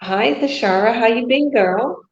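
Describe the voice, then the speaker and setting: A woman's voice: a little high, measured pace. Chatty host woman, echoey dull audio. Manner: breezy, chatty, many fillers. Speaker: interviewer, host. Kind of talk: casual video call interview.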